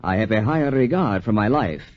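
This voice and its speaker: A muffled 1940s radio recording of a man acting a fussy physician. He scolds with hesitant exclamations and widely swinging pitch, scornful of anyone who takes risks. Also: animated, fairly quick, a little hiss or hum, close to an everyday voice. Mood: exasperated scolding. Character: fussy, disapproving male French physician, scornful of risk-takers.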